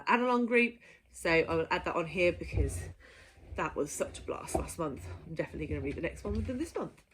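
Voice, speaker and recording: Woman, lowish pitch, casual book-vlog delivery, playful and keen. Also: slight background noise, rough recording. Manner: playful, enthusiastic, given to giggling. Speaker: book vlogger presenting a reading list. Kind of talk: casual chatty monologue to viewers.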